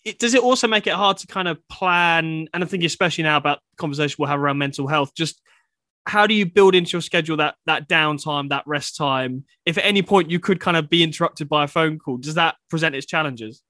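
A man asks questions quickly in a bright, slightly high voice. His tone is gentle and probing, and he softens his questions with hedges. The sound is close and dry. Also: no room sound. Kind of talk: interview questions.

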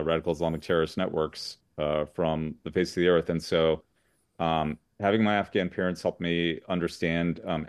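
American guy from socal, low voice, dry sound, lots of uhs and ums, earnest. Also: smooth, dry recording. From Southern California. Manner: earnest, measured, many fillers and restarts. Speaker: guest, lawyer. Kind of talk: interview conversation.

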